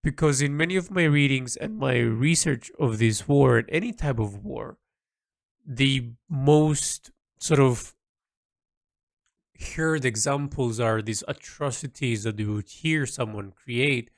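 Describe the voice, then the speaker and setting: Guy doing a solo audio log, mid-pitched gravelly voice, rambly and halting, very dry sound. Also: dry recording. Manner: halting, rambling, thinking aloud with repetitions. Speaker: audio log diarist, creative hobbyist.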